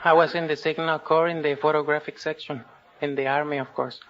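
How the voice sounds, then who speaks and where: A man's voice: on the high side, flat delivery, a little fast, fairly bright tone. A young man from Mexico giving brief, casual answers as a radio quiz contestant. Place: radio studio with audience. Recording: dull, noisy vintage radio, audience behind.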